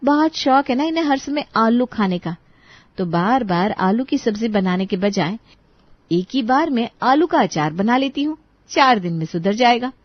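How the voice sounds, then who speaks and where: A woman playing a polite but exasperated young daughter-in-law in a dramatized story, expressive in the way she speaks. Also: on the high side, normal speed, dry recording, dull audio. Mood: scheming. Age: young adult.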